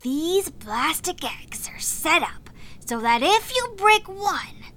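A woman playing a brisk, motherly weapons dealer in an audio drama, with a teasing, no-nonsense manner. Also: high voice, bright, forceful tone, full character voice.

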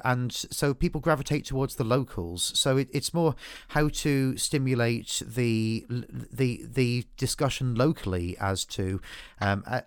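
A man hosting a political podcast, talking in a halting way with many restarts and fillers. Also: mid-range voice, no echo, clean studio sound. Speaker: podcast host. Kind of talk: political discussion.

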